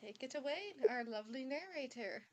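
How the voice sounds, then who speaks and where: A woman's voice: sits high, lively intonation, fairly quick. A woman presenter giving a scripted welcome to introduce an audio play.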